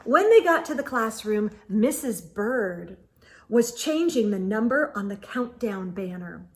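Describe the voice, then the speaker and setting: A woman hosting a storytime, reading a children's book aloud in an expressive, deliberate storytelling way. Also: medium pitch.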